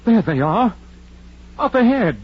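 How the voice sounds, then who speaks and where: A man's voice: extremely high pitch, very animated, measured pace, slightly rough, exaggerated voice. An actor playing a timid, superstitious rural man, nervous, hesitant and folksy, in an old-time radio horror drama. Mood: frightened. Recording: muffled, noisy vintage radio recording.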